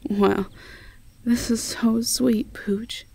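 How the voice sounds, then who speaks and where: Woman doing a sweet southern belle girlfriend for roleplay audio, southern us accent, slow n mellow, kinda flustered and stammery. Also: a little high, level tone, vocal fry, husky, dry recording, heavily acted, low-quality recording. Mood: touched, surprised. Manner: hesitant, stammering, affectionate. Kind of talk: intimate roleplay audio drama.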